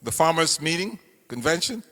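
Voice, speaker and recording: An older man from Cameroon speaks to a crowd in a meeting hall, his voice bright, forceful and pitched a little high. He tells his story earnestly, leaning on words slowly. The sound carries a long hall reverb. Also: sing-song. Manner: earnest, storytelling, slow deliberate emphasis. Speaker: political candidate speaking at a meeting. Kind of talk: campaign speech.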